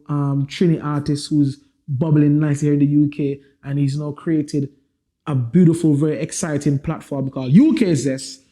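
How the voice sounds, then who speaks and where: A man hosting a music podcast, introducing his guests with hype and street slang. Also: on the high side. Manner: lively, hyped introductions with slang. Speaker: podcast host. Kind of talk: casual podcast chat.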